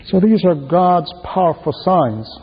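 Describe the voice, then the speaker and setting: A man preaching a sermon in an emphatic, deliberate manner, repeating key phrases for emphasis. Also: high voice, lively intonation, husky. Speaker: preacher reading a translated homily. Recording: muffled, very reverberant hall sound.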